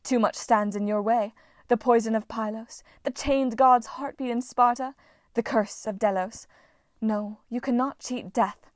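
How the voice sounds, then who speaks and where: A woman voicing a furious, grandiose goddess of victory caught in a net, in a storybook reading, with shrill, booming proclamations. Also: steady tone, measured pace, dry recording, clean studio sound, close to an everyday voice. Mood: ominous.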